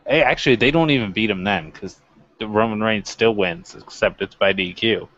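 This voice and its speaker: A man's voice: on the low side, moderate pace, fairly bright tone. A man co-hosting a casual wrestling podcast, bantering in a blunt, sweary, self-deprecating way. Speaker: podcast co-host. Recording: dull lo-fi microphone sound.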